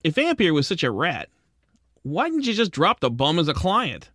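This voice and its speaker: A man playing a wisecracking, soft-hearted penguin private detective in a radio drama, narrating and talking in old-time gumshoe slang. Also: fairly high pitch, huge pitch swings, normal speed, no echo, clean studio sound, heavily acted. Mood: hardboiled. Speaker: lead actor and narrator.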